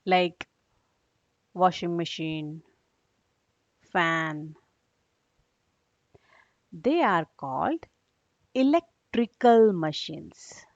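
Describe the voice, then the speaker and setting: A woman's voice: fairly low pitch, animated, extremely slow, long pauses. A woman teacher giving a read-along lesson for young children, explaining in a didactic way and checking understanding with short questions. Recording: dull, echoey room recording.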